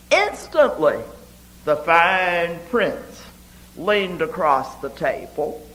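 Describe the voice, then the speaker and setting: Slow, expressive man reading poetry. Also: a little high, low-quality recording. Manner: deliberate, expressive, with emphatic pauses.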